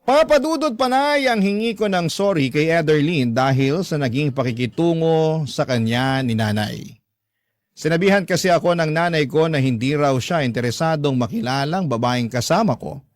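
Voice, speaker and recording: A man's voice: fairly high pitch, lively intonation, normal speed, dry recording, clean studio sound. A man reading a scripted first-person love story as the narrator of a radio drama.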